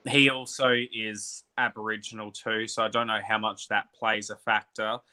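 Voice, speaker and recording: A man's voice: lowish voice, little pitch movement, moderate pace, sharp tone. A man from Australia with an accent between British and Australian, chatting casually as a livestream host and laughing easily. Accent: mix of British and Australian. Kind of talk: casual chat.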